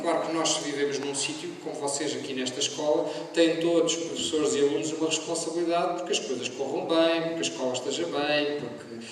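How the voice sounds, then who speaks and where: Man talking in an echoey school hall. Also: fairly high pitch. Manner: reflective, conversational, addresses audience directly. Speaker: panelist. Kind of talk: panel talk to students. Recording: echoey hall from far off, noisy.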